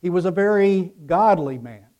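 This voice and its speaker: A man raised in Ecuador by American parents preaches as a pastor, speaking deliberately in emphatic phrases that trail off softly. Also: high-pitched, animated. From Ecuador, raised by American missionary parents. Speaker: pastor preaching to his congregation. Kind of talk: sermon.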